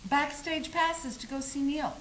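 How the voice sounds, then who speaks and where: A middle-aged woman co-hosting a talk show, blunt and teasing, with a loud voice. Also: high voice, fairly even intonation, a little hiss or hum. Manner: teasing, blunt, quick comebacks. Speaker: co-host. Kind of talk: bantering talk show chat.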